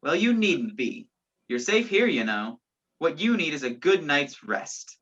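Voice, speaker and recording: A young woman playing a sceptical, gallant gentleman in an amateur radio play, with a theatrical, incredulous manner. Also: low-pitched, sing-song, measured pace, husky, full character voice. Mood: reassuring. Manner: incredulous, theatrical, old-fashioned phrasing. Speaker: student actor in a school radio play. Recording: dull lo-fi home recording.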